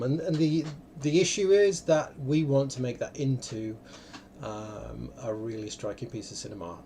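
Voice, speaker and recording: A man hosting an online live event in a casual, unscripted way, often halting and interrupting himself. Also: mid-range voice, warm tone, slight background noise. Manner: rambling, halting, self-interrupting. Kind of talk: casual, unscripted livestream talk. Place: indoor room, online video call.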